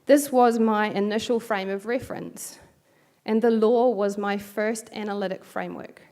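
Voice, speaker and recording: A woman's voice: medium pitch, fairly even intonation, normal speed, a little mellow. A middle-aged woman from New Zealand with a New Zealand accent, giving a reflective academic keynote with light self-deprecating asides. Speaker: keynote speaker. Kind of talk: academic lecture.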